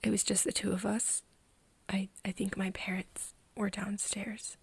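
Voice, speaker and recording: A woman playing an earnest young woman confiding in a friend in an ASMR roleplay, speaking softly and haltingly, often in a whisper. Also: medium pitch, flat delivery, no room sound, exaggerated voice. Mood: sad, hesitant. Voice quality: soft, breathy, often whispering. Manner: halting, hesitant confiding with pauses. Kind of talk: ASMR roleplay, intimate one-sided conversation.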